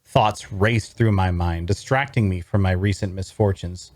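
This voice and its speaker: Male actor as brooding adventurer, low voice, deliberate fantasy narration, very dry sound. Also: darkish tone, dry recording. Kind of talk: first-person scripted fantasy narration at a table read.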